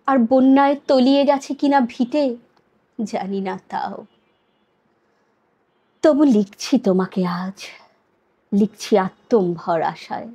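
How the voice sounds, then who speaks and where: A woman reciting poetry expressively, with a measured, solemn, dramatic delivery and deliberate pauses between phrases. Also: mid-range voice, mellow, husky.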